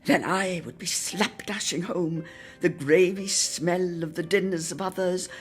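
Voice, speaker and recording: A woman reading a literary Christmas reminiscence aloud in a theatrical, expressive style, lingering on words for emphasis. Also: lowish voice, unhurried, faint music behind. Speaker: reader in a radio players' production.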